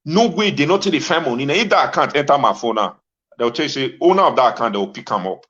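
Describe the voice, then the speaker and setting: A man's voice: mid-range voice, lively intonation, fast, fairly bright tone. A Nigerian-accented man delivering an impassioned informal rant to his audience in Pidgin, exclamatory and insistently repeating his phrases. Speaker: talk show host. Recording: dull lo-fi audio.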